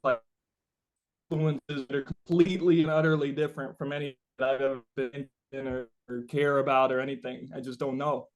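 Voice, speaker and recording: A man being interviewed as a musician, talking casually and haltingly as he searches for the right words. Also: mid-range voice, level tone, poor audio.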